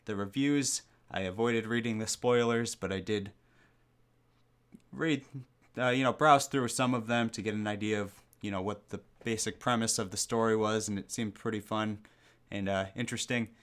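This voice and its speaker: A young man hosting a casual podcast, talking in a relaxed, chatty way with jokey asides. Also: on the low side, normal speed, clean tone. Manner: relaxed, chatty, with frequent ums and self-deprecating jokes. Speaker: podcast host. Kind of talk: casual livestream podcast chat.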